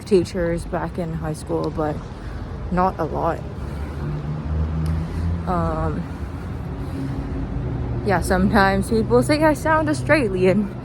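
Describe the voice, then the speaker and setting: Slow-talking young woman rambling outdoors amid loud noise. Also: on the low side. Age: young adult. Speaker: vlogger. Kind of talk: casual rambling walking vlog. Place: outdoors, walking. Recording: noisy outdoor street, traffic ambience. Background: street and vehicle noise.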